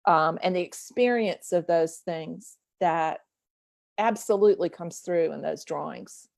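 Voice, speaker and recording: An attentive woman interviewer asks questions in an unhurried, deliberate way and affirms what she hears. Her voice sits a little low for a woman. The sound is dry, with almost no room echo. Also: vocal fry, darkish tone. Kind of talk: casual interview.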